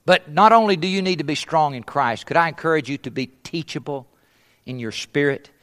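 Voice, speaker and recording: Man, missionary preacher raised in East Africa, fairly high voice; emphatic sermon delivery that sinks to a hush. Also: fairly quick. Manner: emphatic, trails off to a hush at phrase ends; asks rhetorical questions. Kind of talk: sermon at a conference.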